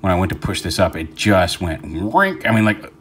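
A man's voice: sits low, sing-song, moderate pace, a little hiss or hum. A man talking casually through a hands-on toy review, rambling with fillers and restarts, recorded indoors at a tabletop.

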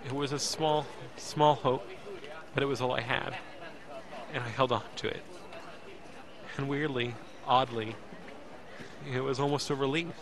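An anxious, emotional young man acted by a man tells his own story in an audio drama. His delivery is intense and halting, in a mid-pitched voice, with crowd ambience behind. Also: fairly even intonation, lightly acted. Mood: resigned, tense. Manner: intense, halting, repeats words. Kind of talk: dramatic first-person narration in audio drama.

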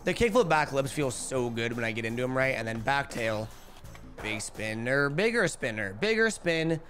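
Young man, gaming streamer, chatty, headset mic, slightly hoarse, light music underneath. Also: medium pitch, normal speed. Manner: energetic, chatty, calls out tricks. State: losing his voice. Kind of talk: live gameplay commentary. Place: home streaming room.

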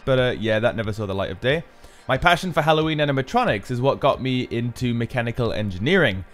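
A man's voice: medium pitch, expressive, fast. Guy doing a casual diy how-to voiceover, informal and explanatory, bit of music behind. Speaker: DIY maker presenting a build. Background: background music.

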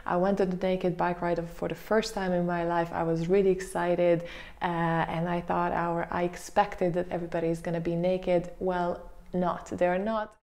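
A young woman vlogging casually to camera from her living room, chatty and full of pauses and fillers, on a lapel mic. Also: fairly low pitch, flat delivery, a little mellow. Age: young adult. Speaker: vlogger host. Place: small living room indoors. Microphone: lapel mic clipped to her top.

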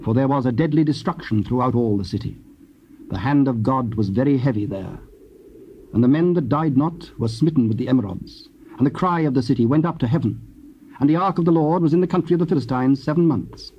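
A man's voice: medium pitch, lively intonation, brisk. A man reading scripted scripture narration as a narrator. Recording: dull, noisy old cassette.

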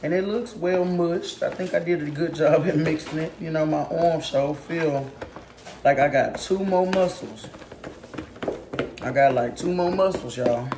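A woman's voice: deep voice, a little slow. A young woman hosting a casual home cooking vlog, chatting and teasing as she talks straight to her viewers. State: tired arm while mixing. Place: home kitchen. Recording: echoey kitchen with background noise.

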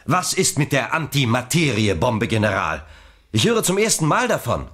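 A man's voice: mid-range voice, sing-song, normal speed, a bit bright. A man playing a brave, determined young hero in a children's science-fiction audio drama, urgent and decisive. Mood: grave.

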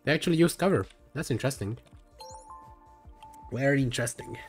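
A man's voice: mid-range voice, lively intonation, fairly quick, faint music behind. A young man from Hungary with a Hungarian accent, streaming a game live and chatting in a rambling way with lots of fillers, tired late in the session. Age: young adult.

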